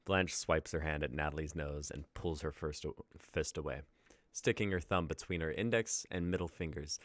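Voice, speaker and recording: Very deep-voiced young male narrator. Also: steady tone, normal speed, a bit bright, dry recording. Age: young adult. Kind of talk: scripted story narration, stage directions.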